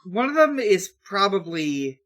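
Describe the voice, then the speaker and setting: Slow, hesitant man chatting casually. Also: high-pitched, big pitch swings, a bit bright. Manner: hesitant, trailing off while thinking aloud. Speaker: podcast co-host. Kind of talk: casual banter among friends.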